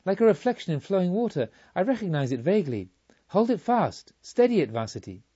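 A man voicing an awestruck, excitable pilgrim spirit in a storybook reading, speaking with urgent exclamations. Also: sits high, brisk, husky, muffled recording, pitched above the performer's own voice, full character voice. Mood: urgent wonder.

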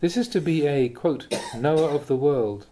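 A man reading Buddhist teachings aloud in a measured, deliberate way with emphatic pauses. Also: a little high, mellow, slight background noise. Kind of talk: read-aloud spiritual teaching.

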